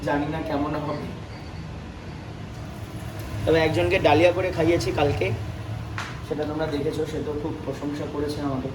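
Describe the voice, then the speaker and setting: A man's voice: fairly high pitch, little pitch movement, moderate pace, pauses often. A young man chatting playfully and casually as a vlogger. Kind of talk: casual vlog chat. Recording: roomy, off-mic, clear ambient noise.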